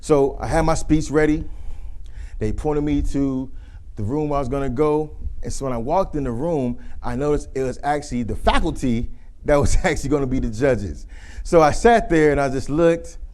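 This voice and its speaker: A man with a deep, mellow, dark-toned voice speaks in a relaxed, conversational way as a workshop presenter. He is in his thirties and drifts at times into quick demonstrations of flat versus lively delivery. His pitch sits in a middle range for a man. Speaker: speaker giving a communication workshop.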